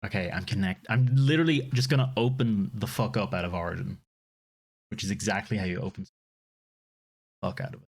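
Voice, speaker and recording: A man's voice: fairly low pitch, a little fast, vocal fry, pauses often, breathy edge. A man streaming a game live, chatting casually, swearing freely and laughing often.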